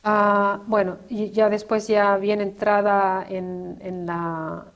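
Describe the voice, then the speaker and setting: A woman in her fifties from Chile, with a Chilean accent, telling her life story as an interview guest and often pausing to search for words. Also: mid-range voice, little pitch movement. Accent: Chilean Spanish. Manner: reflective, searches for words with frequent restarts. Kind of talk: personal testimony in conversation.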